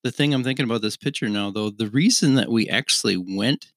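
A man's voice: fairly low pitch, brisk, a bit bright, dry recording, studio quality. A middle-aged man co-hosting a podcast, answering in brief, matter-of-fact remarks. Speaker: podcast co-host. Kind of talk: casual chat.

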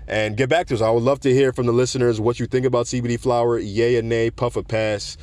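A deep-voiced man, a podcast host, giving his opinions in a relaxed, conversational monologue and projecting his voice. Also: fast talker, no room sound. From South Florida. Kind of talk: casual solo podcast rant.

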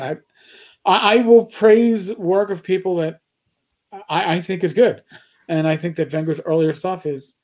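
A man's voice: on the high side, dark tone. A man co-hosting a casual podcast chat, rambling and blunt, with frequent stammered restarts and swearing. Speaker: podcast co-host.

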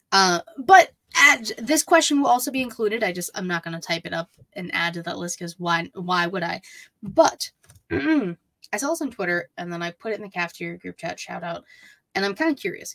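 A woman's voice: on the low side, brisk, creaky, a bit bright, no room sound. A woman co-hosting a podcast, chatting casually as a wrestling fan. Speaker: podcast co-host.

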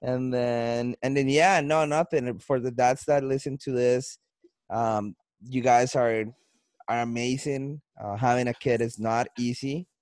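A man's voice: medium pitch, steady tone, measured pace, a little mellow, no room sound. Casual man with a Colombian accent. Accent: Colombian Spanish. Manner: loose and chatty, with frequent restarts and laughs. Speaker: podcast host. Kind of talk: casual podcast chat.

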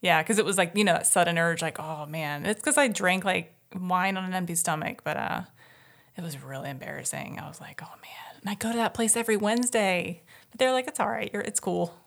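Gravelly low-voiced woman chatting. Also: fairly bright tone. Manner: giggly, quick to react. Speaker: podcast co-host. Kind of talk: casual chat.

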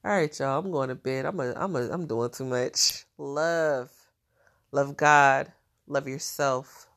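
A woman's voice: very deep voice, slow, a bit bright. A woman with a nasal, stuffed-up voice talking in a casual diary vlog, chatty and laughing often. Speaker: vlogger.

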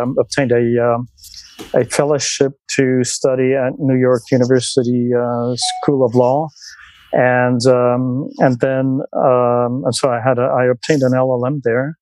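A man's voice: medium pitch, darkish tone, no echo, low-quality recording. A Swiss man in his sixties, a podcast interview guest who talks with many ums and restarts and laughs easily. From Switzerland. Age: 60s.

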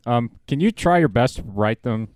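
A man's voice: mid-range voice, animated, moderate pace, a little mellow, dry recording. A man co-hosting a casual podcast, bluntly ribbing the others and swearing. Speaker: podcast co-host. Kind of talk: casual banter.

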